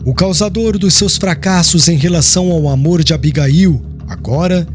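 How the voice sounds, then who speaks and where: A man with a Brazilian accent giving a solemn, measured audiobook narration of literary prose, with phrases falling at the end. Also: fairly high pitch. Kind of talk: scripted literary narration of a religious historical novel. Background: background music.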